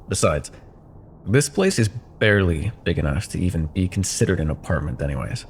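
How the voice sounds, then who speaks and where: A man's voice: low-pitched, lively intonation, normal speed, slight background noise. A man playing an arrogant, possessive corporate CEO ex-lover in a cyberpunk ASMR roleplay, sardonic and menacing, with condescending pet names. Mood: smug mockery. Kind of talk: ASMR roleplay, dramatic monologue.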